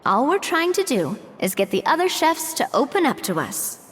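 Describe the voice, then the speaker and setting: A woman's voice: high-pitched, normal speed, slight background noise. A woman voicing a confident young female investigator in a video game, encouraging and persuasive. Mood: reassuring. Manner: reassuring, expressive. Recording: long reverb with music behind.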